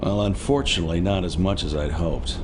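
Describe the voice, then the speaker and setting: Low-voiced, serious military aide played by an actor. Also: male voice, fairly quick, background noise, close to an everyday voice. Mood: sober reporting. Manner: formal, serious, precise. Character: earnest, dutiful male military intelligence aide. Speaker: intelligence aide. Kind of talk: video game briefing acting.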